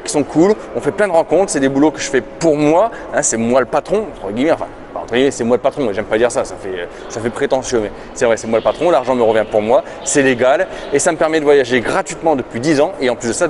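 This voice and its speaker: Chatty man host over loud city noise. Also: mid-range voice, quick pace, few pauses. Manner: energetic, chatty, addresses viewers as friends. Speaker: travel vlogger host interviewing guests. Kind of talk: upbeat YouTube intro and casual interview. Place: outdoors in a city, partly on the metro. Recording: noisy city and transit background.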